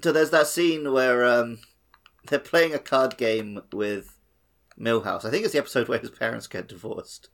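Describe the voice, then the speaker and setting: Man with creaky voice, casual podcast chat. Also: medium pitch, moderate pace, fairly bright tone. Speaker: podcast co-host.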